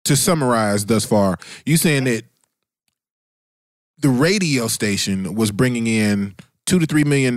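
A man's voice: fairly low pitch, no echo, studio quality. An American man co-hosting a podcast, chatting casually with informal, slangy interjections. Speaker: podcast co-host. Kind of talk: casual chat.

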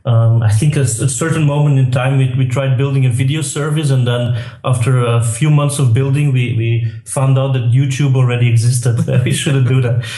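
A man's voice: medium pitch, normal speed, hardly any pauses, echoey room. A man in his thirties with a Belgian accent, a guest talking casually with many filler sounds. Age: 30s. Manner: relaxed, many filler sounds, laughs easily. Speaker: interview guest. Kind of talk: casual interview.

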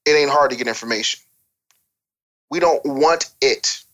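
A man's voice: mid-range voice, normal speed, a bit bright. A man with an American accent arguing his opinions assertively, repeating points for emphasis in casual speech. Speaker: podcast panelist. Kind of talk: opinionated discussion.

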